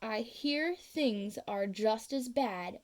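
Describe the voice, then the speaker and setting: A woman voicing a grumpy old forest creature from a storybook, croaky, scolding and pleading. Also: on the high side, animated, slow pace. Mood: indignant scolding. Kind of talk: storybook read-aloud.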